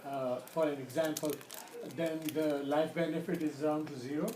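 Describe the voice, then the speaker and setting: A man in the audience asks halting questions with many fillers. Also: on the high side, fairly even intonation, darkish tone, a little hiss or hum. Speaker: audience member asking questions. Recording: roomy, with crowd sound.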